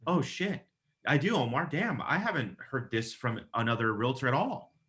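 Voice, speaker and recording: A man's voice: mid-range voice, expressive, moderate pace, a bit bright. A man working as a real estate agent, giving casual, emphatic advice in a conversational coaching style and acting out example phone calls. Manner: emphatic, casual, mildly profane, checks in with "okay?".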